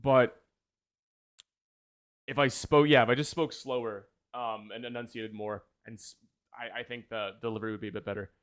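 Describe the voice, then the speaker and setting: A man talks casually to his livestream viewers in a mid-pitched voice with very little room sound. He is enthused and rambles, often doubling back to fix what he just said. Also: steady tone, moderate pace, long pauses, smooth, no room sound, studio quality. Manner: rambling, enthused, self-correcting. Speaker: video essayist, livestream host.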